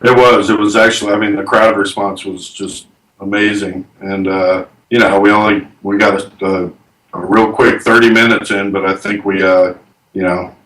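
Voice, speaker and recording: A man's voice: fairly low pitch, level tone, moderate pace, a bit bright. A man in his forties, a band guest in a casual interview, earnest and rambling with fillers. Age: 40s. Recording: echoey room, distant from the mic.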